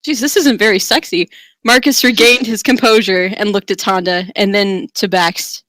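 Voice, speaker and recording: A woman's voice: medium pitch, fairly even intonation, normal speed, a bit bright, no room sound. A woman giving a theatrical, tongue-in-cheek dramatic reading of a fantasy romance. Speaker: reader.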